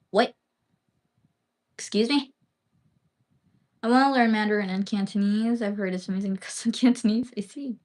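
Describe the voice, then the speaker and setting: Woman streamer from the Philippines, Bisaya speaker, loose rambling chat full of fillers and casual swearing. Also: mid-range voice. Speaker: livestreamer.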